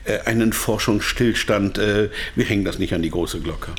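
A man's voice: on the low side, normal speed, lightly acted. A man acting in a German radio drama as an evasive but candid company insider, explaining things in a hedging, hesitant way. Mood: explanatory, hedging.

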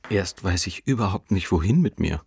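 Low gravelly weary, despairing man, introspective. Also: expressive, moderate pace, darkish tone, slight background noise. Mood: reflective. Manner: introspective, clipped fragments. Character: despairing male narrator living through depression, weary, bitterly sardonic. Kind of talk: literary monologue in a radio play. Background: music.

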